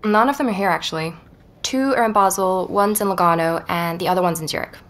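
An actress plays a curious, persuasive woman podcaster in an audio drama. Her voice sits a little low. She is reflective and measured, sounding natural in both narration and conversation. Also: a bit bright, natural voice. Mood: keen. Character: inquisitive, persuasive woman podcaster researching a painter. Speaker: podcast narrator and lead character.

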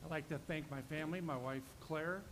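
A man's voice: on the high side, fairly even intonation, fairly quick. A man, a city council member, starting an informal speech of thanks at a public meeting. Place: large council chamber, indoors. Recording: distant, quiet voice under clear room noise.

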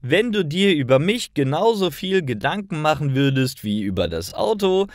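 A man's voice: on the high side, big pitch swings, normal speed, no room sound, clean studio sound, voice pushed up. A man reading the part of a cheerful, teasing young wife in a storybook.

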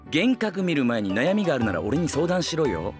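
An actor playing a haughty, hot-tempered, fiercely loyal young retainer, brusque and emphatic, in an anime drama. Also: male voice, a little high, very animated, a little fast, over music. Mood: brusque, scolding.

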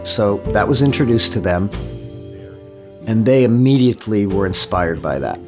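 Low-voiced man explaining a design process. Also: animated, moderate pace, music in the background. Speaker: design consultant and facilitator. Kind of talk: documentary interview.

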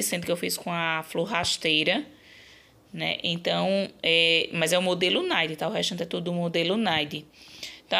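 Brazilian lady doing a casual craft vlog, kinda low creaky hoarse voice, explains stuff and checks in a lot. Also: sharp tone. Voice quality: slightly hoarse, gravelly. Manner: chatty, explanatory, frequent check-in tags. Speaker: crochet channel host.

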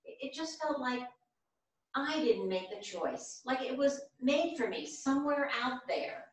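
Actress as blunt elderly mother in stage drama, wry and candid, higher voice, distant in a reverberant theatre. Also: animated, measured pace. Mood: regretful, candid. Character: blunt, regretful elderly mother of six named Pat. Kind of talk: staged play reading. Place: small theatre stage, seated at music stand. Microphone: far camera. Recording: very echoey theatre stage from far off.

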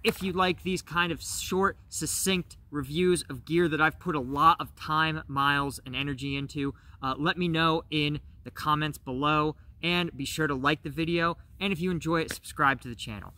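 Host, man in his 40s, a bit high and bright, chatty outdoor gear review, forest setting. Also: steady tone, normal speed, few pauses. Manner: conversational, frequent "right?" and fillers. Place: outdoors in a bare winter forest.

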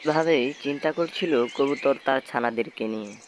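A man narrating a children's animated folk tale in a scripted storytelling style. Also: mid-range voice, normal speed, slight background noise.

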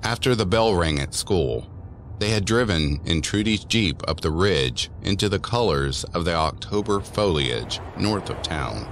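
A man's voice: low voice, animated, measured pace, a bit bright, slight background noise, exaggerated voice. A man narrating a scripted spooky story about teens, as a storyteller in an audio drama. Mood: measured, eerie. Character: male storyteller of a spooky teen tale. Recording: music under the voice.

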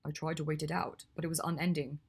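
A woman voicing a reserved young wizard who speaks quietly with dry humor, in a low husky voice. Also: level tone, quick pace, heavily acted. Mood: teasing.

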